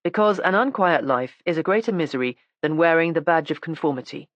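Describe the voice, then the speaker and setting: Woman doing a calm wry police inspector guy, lowish voice, dull muffled sound. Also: brisk, no echo, lightly acted. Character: courteous, dry-witted male police inspector.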